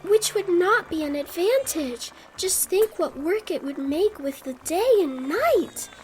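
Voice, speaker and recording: A woman's voice: very high-pitched, expressive, takes it slowly, a little mellow, slight background noise, full character voice, poor audio. A woman voicing a polite, curious young girl in an audiobook, prim and earnest. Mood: earnest, pedantic. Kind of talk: audiobook character acting.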